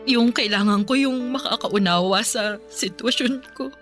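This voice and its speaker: A Filipino actress playing a shy, wounded married woman in a radio drama, timid and halting as she talks. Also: a little high, a bit bright, faint music behind, close to an everyday voice. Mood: upset, pleading.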